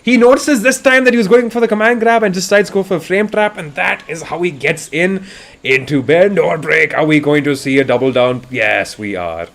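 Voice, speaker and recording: A man from India doing live, excitable fighting game commentary, his voice getting raspy, swinging between hype and quiet asides. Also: a little high, a little fast. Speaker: esports commentator.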